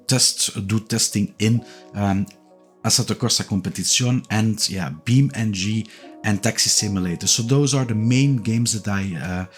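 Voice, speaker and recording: A man in his forties, a native Dutch speaker with a Dutch accent, talking casually and hesitantly with lots of fillers as he introduces his review channel. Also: fairly low pitch. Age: 40s. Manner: relaxed, hesitant, many "uh" and "yeah" fillers and repeated words. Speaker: YouTube reviewer of sim racing gear. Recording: faint music bed behind the voice.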